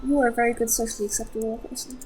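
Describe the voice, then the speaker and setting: A woman's voice: a little high, flat delivery, rapid-fire, a crowd in the background. A woman chatting and telling stories casually while playing a game. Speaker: video co-host. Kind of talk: casual storytelling chat over a game.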